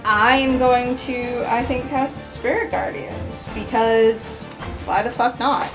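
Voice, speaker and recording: Female tabletop player, slightly high voice, slow delivery, casual and sweary, music underneath. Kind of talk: improvised casual game narration. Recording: music bed behind the voice.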